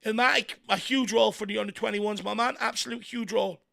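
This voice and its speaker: An Irish man hosting a fan watchalong, giving excitable partisan live commentary on a football match with a croaky, worn voice. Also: sits high, fast. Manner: excitable partisan, shouts encouragement at players. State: voice going croaky from hours of talking.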